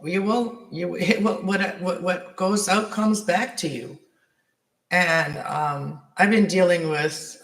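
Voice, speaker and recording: A man with a somewhat high, raspy voice talks on a tarot livestream. He meanders, restarts his sentences and leans on fillers. His voice bounces around an echoey room at home. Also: a bit bright. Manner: meandering, many restarts and fillers, spiritual talk. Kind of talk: rambling live-stream monologue. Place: apartment at home.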